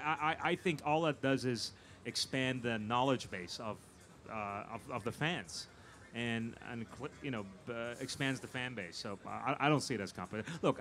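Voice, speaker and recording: A man's voice: medium pitch, a bit bright. A man answering as a film producer on a panel, conversational and emphatic, repeating words as he makes his points. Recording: live event floor with background noise.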